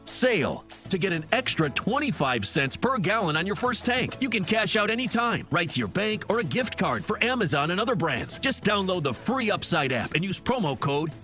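A man's voice: moderate pace, soft background music. A man reading an upbeat, emphatic advertisement. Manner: energetic, emphatic pitch. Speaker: ad announcer. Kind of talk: upbeat ad read.

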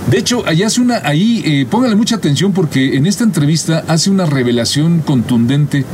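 A man's voice: animated, a little fast, darkish tone. A man with a Mexican accent hosting an informal talk on music history, hesitating, repeating his words and checking for agreement. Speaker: host. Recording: clear vehicle-like rumbling background noise.

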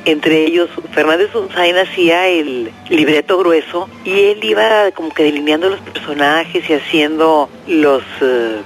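A man from northern Mexico with a regional accent, reflecting conversationally as an interviewed expert and pausing to search for words. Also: a little high, a bit bright. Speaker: interviewed expert on film history. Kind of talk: documentary interview, reflective explanation.

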